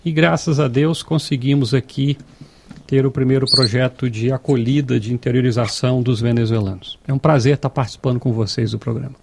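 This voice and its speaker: Guy, mid-pitched, chatting as a guest on a podcast. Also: fairly even intonation, fairly quick, creaky, slight background noise. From Juiz de Fora, Brazil. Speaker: guest, director of a migrant aid association. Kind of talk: conversational interview.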